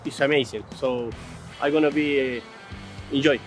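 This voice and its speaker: A man from Argentina with an Argentine accent, a polo player speaking halting English in a casual interview. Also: medium pitch, steady tone. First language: Spanish. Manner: halting, non-native English. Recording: music behind the voice.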